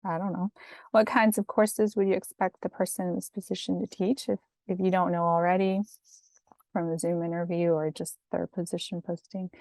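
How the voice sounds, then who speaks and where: A calm, soft-spoken woman giving chatty career advice over slides, with fillers and little laughs. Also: fairly low pitch, normal speed, creaky, dry recording, low-quality recording. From family from Mexico. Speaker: academic career coach. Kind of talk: casual instructional talk over slides.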